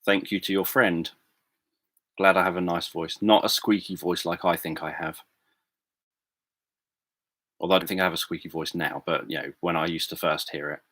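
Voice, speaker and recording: Deep-voiced man, soft and halting. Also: fairly even intonation, no room sound. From England. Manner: hesitant, many fillers and restarts. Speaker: livestream host. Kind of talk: casual livestream chat answering viewers. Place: home room.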